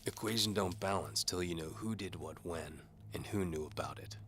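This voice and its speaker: A man's voice: sits low, moderate pace, a bit bright, slight background noise. A man voicing a world-weary, wisecracking knight for hire in an audio drama, with a sardonic, hard-boiled manner. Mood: probing, gritty. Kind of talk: audio drama character acting.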